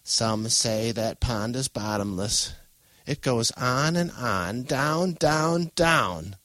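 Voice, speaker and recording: A man voicing a frail, superstitious old grandmother with a creaky, trembling voice, giving foreboding warnings in an audiobook. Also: medium pitch, a little slow, dry recording, voice pushed up, lightly acted. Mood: hushed, eerie. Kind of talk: audiobook character voice.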